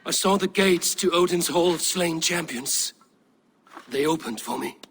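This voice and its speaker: An actor playing a troubled, fiercely loyal Viking warrior in a video game, speaking in a halting, earnest way. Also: male voice, fairly high pitch, fairly bright tone, slight background noise. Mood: shaken, hazy. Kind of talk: dramatic video game acting.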